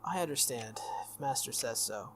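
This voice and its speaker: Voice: mid-range voice, animated, normal speed, a bit bright, a little hiss or hum, pitched below the performer's own voice, natural voice. A game streamer voicing a proud, stubborn young female warrior servant with formal, resolute speech in a visual novel. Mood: stiff, formal.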